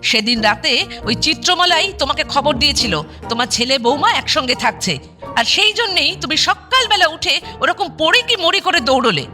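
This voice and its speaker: High, animated, scolding ghostly mother. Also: normal speed, soft background music. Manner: scolding, teasing, colloquial. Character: sharp-tongued, doting dead mother speaking from her photograph. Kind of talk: broadcast radio drama acting.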